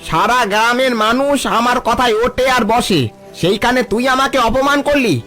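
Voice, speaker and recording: Man acting an arrogant, very high-pitched rich villager. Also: normal speed, pushed and bright, faint music behind. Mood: scornful, boastful. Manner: haughty, scolding, boastful. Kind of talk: cartoon voice acting.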